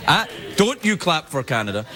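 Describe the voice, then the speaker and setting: A Scottish man, middle-aged, working a late-night stage with a fairly high, lively voice that bounces all over. He tosses off wry, self-mocking asides while heavy audience laughter fills the background. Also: moderate pace. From Scotland. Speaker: late-night talk show host. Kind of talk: comedic monologue to a studio audience. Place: television studio with live audience. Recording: loud audience noise behind.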